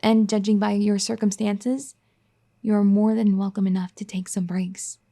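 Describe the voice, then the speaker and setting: A caring young wife, firm and a little bossy, as a woman acts her out. Her voice is mellow and dark, soft-spoken and gently scolding, in an ASMR roleplay style. She talks at an ordinary middle pitch. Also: little pitch movement, measured pace.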